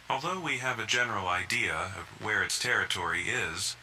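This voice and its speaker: A man's voice: fairly low pitch, bright, forceful tone, a little hiss or hum, rough recording. A man playing a grave official, giving a scripted statement in a serious way. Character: grave male official tracking a dangerous animal.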